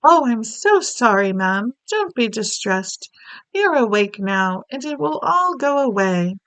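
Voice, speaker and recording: A woman's voice: on the high side, very animated, unhurried, fairly bright tone, clear, resonant tone, no room sound, rough recording. A woman voicing an oldish maid who talks in a deferential, stammering way. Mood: anxious.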